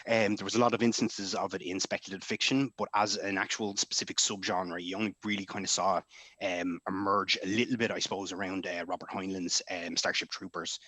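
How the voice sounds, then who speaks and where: A man's voice: sits low, fairly even intonation, a little fast, pushed and bright, no echo. An Irish man speaking on a convention panel, earnest and conversational, thinking aloud as he explains. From Ireland. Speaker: panelist, author.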